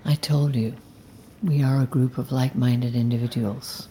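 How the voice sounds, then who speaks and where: An actor playing a calm, zealous leader of an anti-technology movement in an audio drama, speaking in a measured, solemn, deliberate way. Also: male voice, medium pitch, a little hiss or hum, lightly acted, low-quality recording. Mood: solemn conviction. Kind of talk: immersive audio drama acting.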